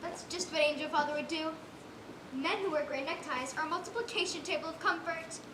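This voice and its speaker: A woman's voice: sits high, a little fast, natural voice. A young female performer playing an earnest, fanciful orphan girl in a stage play, with quaint, wide-eyed phrasing. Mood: dreamy. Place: stage in a roomy hall. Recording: noisy, echoey stage performance recording.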